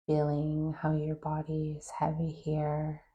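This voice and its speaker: A woman leading a guided meditation, giving calm, gentle, unhurried instructions with long pauses. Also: sits low, dull audio. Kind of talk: guided meditation, soft scripted-sounding instruction.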